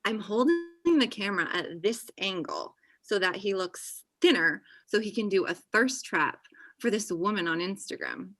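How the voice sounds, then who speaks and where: A woman acts out an overenthusiastic thirty-year-old woman in a comedy script. Her voice is bright and sharp at a middle pitch, full of chatty, possessive best-friend energy. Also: moderate pace. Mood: gushing, teasing. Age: young adult. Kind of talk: comedy table read. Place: indoor room on a video call. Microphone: video call.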